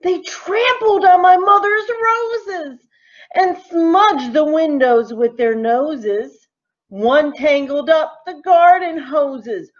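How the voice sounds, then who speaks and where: Lady teacher reading kids a rhyming story, really slow n sing-songy, highish voice, kinda dull lo-fi audio. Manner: deliberate, animated, sing-song phrasing. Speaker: teacher reading aloud to children. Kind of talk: expressive storybook read-aloud in rhyme.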